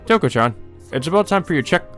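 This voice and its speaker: A man's voice: on the high side, expressive, fast, fairly bright tone, pitched above the performer's own voice. A man voicing a polite, kindly uncle who is a clinic doctor in a visual novel. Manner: courteous. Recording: music behind the voice.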